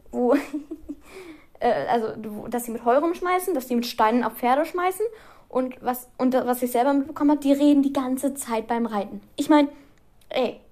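A teenage girl chatting casually to her viewers, rambling and giggling often, with frequent restarts. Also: sits high. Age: teens. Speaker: vlogger. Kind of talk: casual chat to camera.

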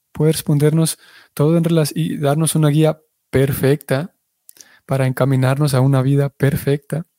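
A man giving a religious class, explaining things in a measured way and often restarting his sentences as he searches for words. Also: on the high side, warm tone, no echo, studio quality. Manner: explanatory, restarts and self-corrections. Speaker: devotional teacher.

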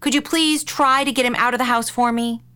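A woman's voice: a little high, steady tone, fairly quick, sharp tone, clear, resonant tone. A woman playing a caring but exasperated middle-aged mother and aunt in an audio drama, earnest and firm. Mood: earnest, pleading.

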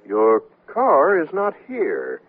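An actor playing an imperious, easily flustered wealthy husband in a radio comedy, fussy and exasperated. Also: male voice, fairly high pitch, huge pitch swings, slow pace, breathy edge, heavily acted. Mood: puzzled. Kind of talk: 1970s radio comedy acting. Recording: tinny, noisy 1970s radio broadcast.

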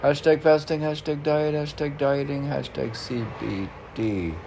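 A man's voice: a little high, fairly even intonation, unhurried, creaky, a little mellow. A middle-aged man reading his own poetry, declaiming the verse and muttering off-the-cuff asides to himself. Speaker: poet. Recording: faint background noise.

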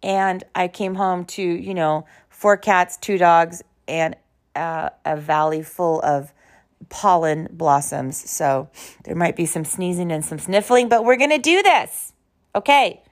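A woman hosting a podcast in an informal, conversational and emphatic way, telling personal stories in a slightly hoarse voice. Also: on the low side, slow pace. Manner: conversational, emphatic, uses rhetorical questions. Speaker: podcast host.

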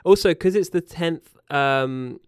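A man with a New Zealand accent chats loosely on a home podcast, often correcting himself mid-sentence and trailing off. His voice is smooth and resonant, recorded close and dry. He keeps a relaxed, rambling conversational flow. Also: fairly high pitch, slow, no room sound. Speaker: podcast co-host. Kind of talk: casual chat. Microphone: podcast mic.

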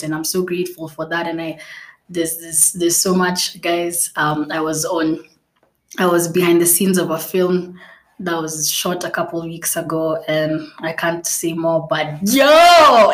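A young woman whose native language is Swahili, hosting a casual solo podcast, chatty and playful with frequent laughs, fillers and restarts. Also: lowish voice. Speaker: podcast host.

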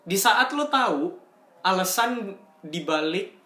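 A man's voice: sits high, expressive, takes it slowly, bright, forceful tone, roomy sound. A young man co-hosting a casual chat, explaining ideas through everyday examples in slangy talk and laughing often.

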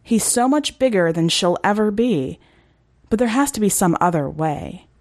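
A woman's voice: mid-range voice, animated, normal speed, a little mellow, dry recording, studio quality. A woman reading scripted fiction as a narrator for a short story podcast. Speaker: podcast editor and story reader.

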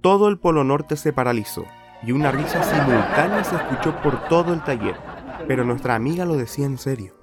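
A man's voice: mid-range voice, lively intonation, a little fast, over a noisy crowd. A man with a Chilean accent narrating a scripted radio play in a colloquial storytelling manner. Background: music.

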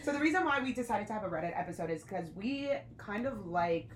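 A woman's voice: mid-range voice, lively intonation, quick pace, echoey room, a little hiss or hum. A young American woman co-hosting a podcast, chatting casually in a talkative, teasing way. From United States. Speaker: podcast co-host. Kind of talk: casual chat.

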